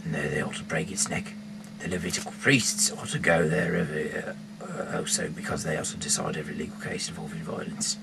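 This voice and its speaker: An English man reading aloud casually in a rambling, halting way, with off-the-cuff asides. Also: rumbling low. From England. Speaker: amateur Bible reader and rock singer. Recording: clearly noisy homemade recording.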